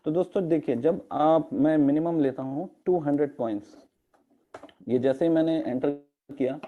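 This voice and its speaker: Hoarse man, slightly high voice, explaining on a muffled online-meeting line. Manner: repeated "friends" address, mixes English terms into Hindi. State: hoarse throat, coughing. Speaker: crypto earnings explainer. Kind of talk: screen-share walkthrough of a spreadsheet. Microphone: online meeting audio.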